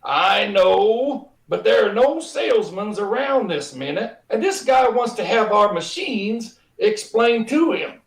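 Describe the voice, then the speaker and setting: Harried, bossy radio-comedy boss, male actor: high creaky voice, stammering, roomy video-call echo. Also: very animated, slow, hardly any pauses, exaggerated voice. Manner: hesitant, stammering, folksy grammar. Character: flustered, evasive, bossy company boss. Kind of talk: retro radio comedy acting.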